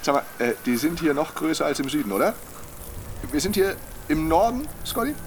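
Sardonic adventurer, swooping pitch. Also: male voice, big pitch swings, brisk, slight background noise. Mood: mocking. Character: mocking, moody, reckless male adventurer. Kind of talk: theatrical radio drama acting.

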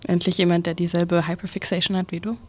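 An actress playing a blunt, slangy young best friend with a gravelly, husky voice in an audio drama. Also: female voice, lowish voice, flat delivery, fast, natural voice. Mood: casual, recalling. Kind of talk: naturalistic audio drama acting.

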